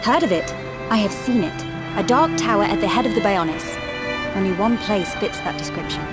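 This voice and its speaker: A woman's voice: mid-range voice, animated, normal speed, close to an everyday voice. An actress playing a young, proud, reserved British noblewoman who speaks in formal, stately phrases for a video game. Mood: solemn, earnest. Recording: loud orchestral music behind.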